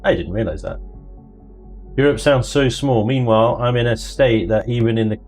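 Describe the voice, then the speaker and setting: A man's voice: mid-range voice, moderate pace, a little mellow, soft background music. A man hosting a livestream, chatting casually and jokily while playing a game. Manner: chatty, jokey, drifts into mock voices and laughter. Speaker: livestream host. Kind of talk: casual chat while gaming.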